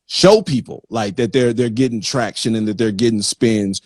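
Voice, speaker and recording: A man hosting a live interview in a conversational way, haltingly circling back and repeating words as he frames his points. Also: on the low side, dry recording, clean studio sound. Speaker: interview host.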